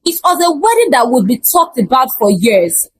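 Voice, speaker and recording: A woman telling a scripted folktale in an expressive, theatrical and humorous storytelling style. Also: sits high, normal speed, a little hiss or hum.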